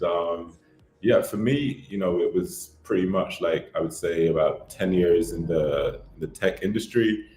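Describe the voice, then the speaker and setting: A man in his thirties from Barbados, a podcast guest telling his life story in a relaxed, rambling way with lots of fillers. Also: low-pitched, reverberant. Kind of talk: casual interview chat.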